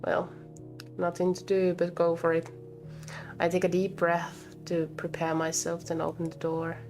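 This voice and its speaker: A woman's voice: fairly low pitch, completely flat delivery, measured pace. A woman game streamer reading a visual novel aloud expressively and breaking off to comment, with game music behind her.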